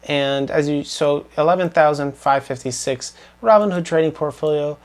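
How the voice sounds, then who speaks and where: A man's voice: on the high side, normal speed. A young man with a slightly scratchy voice giving a rambling, earnest update on his investments to camera, full of ums and restarts. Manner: rambling, filler-heavy, earnest. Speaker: finance vlogger. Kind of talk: casual portfolio update to camera.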